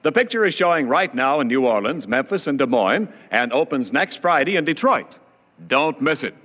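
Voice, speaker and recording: A man's voice: mid-range voice, sing-song, normal speed. A man announcing and narrating from a script in polished 1940s radio style. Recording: reverberant, dull old radio recording.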